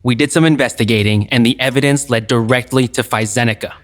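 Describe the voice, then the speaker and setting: A man's voice: medium pitch, fairly even intonation, fast talker, natural voice. A man playing a blunt, worried teenage boy with secret powers in an audio drama. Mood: explaining.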